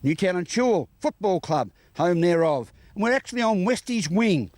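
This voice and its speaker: A man's voice: high-pitched, big pitch swings, moderate pace, darkish tone, dry recording. An Australian man presenting a local TV news interview, with formal, courteous phrasing.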